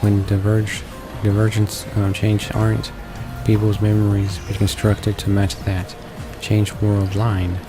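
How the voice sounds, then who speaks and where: A man playing a visual novel and reading its text aloud in a halting way, stumbling and repeating words. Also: fairly low pitch, monotone. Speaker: let's player. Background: game background music.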